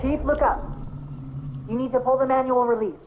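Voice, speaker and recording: A woman playing a caring, anxious female AI companion in a sci-fi game, speaking in an expressive, human-sounding, familiar way. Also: sits high, moderate pace, phone-quality sound, background noise, close to an everyday voice. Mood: alarmed, urgent. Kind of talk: cinematic sci-fi game acting.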